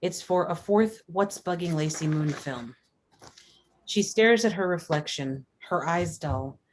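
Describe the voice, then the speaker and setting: A woman with a low-pitched voice serves as narrator for a script read-through. She delivers scene headings and stage directions as scripted narration. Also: measured pace, slight background noise, rough recording.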